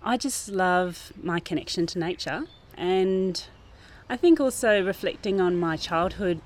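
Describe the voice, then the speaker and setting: A woman who works as a garden teacher, explaining thoughtfully and earnestly in an informal interview outdoors. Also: fairly low pitch. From New Zealand. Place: outdoors, under a gazebo in a school garden.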